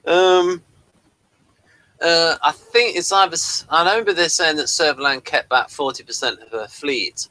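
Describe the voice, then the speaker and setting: Bright-voiced man in casual review chat. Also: a little high, normal speed, no echo, poor audio. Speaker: co-host of a review show.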